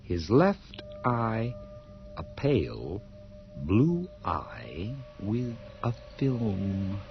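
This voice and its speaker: A mad drifter from a vintage radio horror drama. His low voice is intense and halting, swinging dramatically between feverish insistence and breathy hush. The recording is dull and lo-fi. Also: wildly swooping pitch, exaggerated voice. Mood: brooding obsession. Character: nervous mad drifter confessing murder, insisting he is sane. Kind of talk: dramatic radio horror acting, first-person narration. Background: music.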